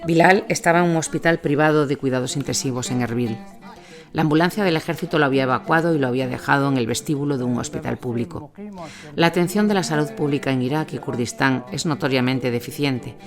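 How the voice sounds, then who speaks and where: A man reading scripted documentary narration as the narrator. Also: medium pitch. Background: music under the voice.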